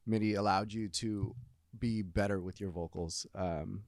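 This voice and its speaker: Lower-voiced enthusiastic male interviewer. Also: fairly even intonation, a little slow, a little mellow, no echo, studio quality. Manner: affirming, enthusiastic reactions, laughs along. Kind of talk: casual interview chat.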